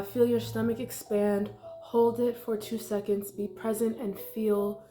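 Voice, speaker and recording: A woman's voice: on the high side, mellow. A congested young woman talking casually through a meditation, rambling with lots of likes and ums. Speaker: meditation vlogger. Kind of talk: casual unscripted guided meditation talk. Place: her apartment. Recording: echoey room with faint music.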